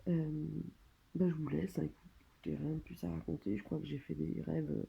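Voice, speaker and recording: A French woman speaking casually and hesitantly, as in a personal video diary, pausing and trailing off between phrases. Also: sits low, level tone, creaky, slightly rough, dull audio. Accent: French, from France. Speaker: vlogger documenting own surgery stay. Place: hospital room.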